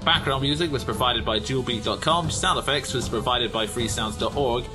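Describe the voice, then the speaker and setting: A man reading out end credits in a plain, steady way. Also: mid-range voice, fairly quick. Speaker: credits announcer. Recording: background music under the voice.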